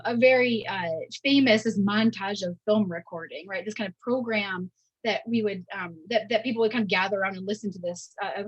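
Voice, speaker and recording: Woman, university lecturer, informal academic talk, husky voice, close dry sound, halting delivery. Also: a little high, no room sound, poor audio. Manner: halting, checking in with frequent "right?". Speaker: university professor giving a lecture.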